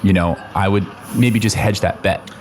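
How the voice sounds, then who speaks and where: A somewhat low male voice with slight background noise from an improv actor. He is a concerned office colleague in a naturalistic radio play. His delivery is halting, tactful and uncomfortable as he tries to reason. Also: fairly even intonation, close to an everyday voice.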